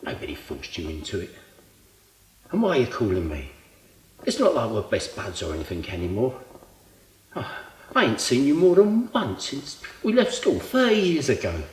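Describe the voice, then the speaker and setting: A man's voice: low voice, big pitch swings, normal speed, pauses often. A man playing an exasperated, guilt-ridden middle-aged character in a colloquial, emotionally volatile stage monologue. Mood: indignant, exasperated. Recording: echoey room.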